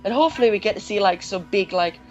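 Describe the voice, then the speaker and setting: A man's voice: high voice, expressive, quick pace, lo-fi sound, faint music behind. A young man shares opinions in a casual fan conversation. He speaks as a guest or co-host, in an informal, conversational way.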